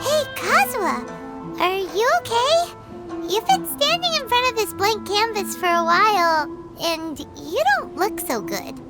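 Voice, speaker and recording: A tiny, bubbly fairy companion chirps in a squeaky, extremely high voice that swoops up and down. The delivery is childlike and exclamatory, in video game style, over prominent music. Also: female voice, a little slow. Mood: concerned. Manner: exclamatory, expressive, speaks as "we". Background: loud game music.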